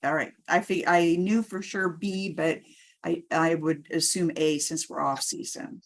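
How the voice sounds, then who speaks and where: A woman hosting a conference call in an informal, halting way. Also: fairly low pitch, steady tone. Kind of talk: informal meeting moderation.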